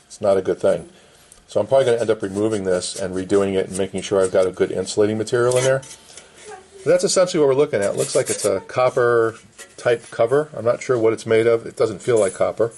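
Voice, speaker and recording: A man's voice: fairly low pitch, a little mellow, a little hiss or hum. A middle-aged man casually explaining a hands-on repair at an indoor workbench in short, plain phrases. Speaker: vintage radio restorer and presenter.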